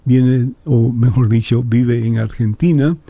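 A man's voice: mid-range voice, takes it slowly, no room sound. A man speaking as a priest and programme host, reverent and conversational. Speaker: priest hosting a call-in programme. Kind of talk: devotional prayer and unscripted interview. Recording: soft new-age music behind the voice. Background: new-age music.

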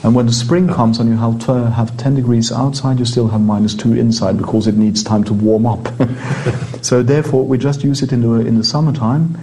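A man's voice: mid-range voice, steady tone, fairly quick, mellow, a little hiss or hum. A man with a Norwegian accent, a priest, chatting in an interview and often repeating words as he explains.

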